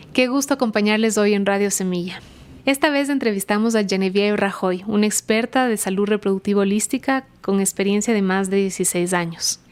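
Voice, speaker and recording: A woman's voice: medium pitch, a little mellow, clean tone. A woman hosting a podcast, reading the intro and then interviewing a guest in a friendly way, laughing often.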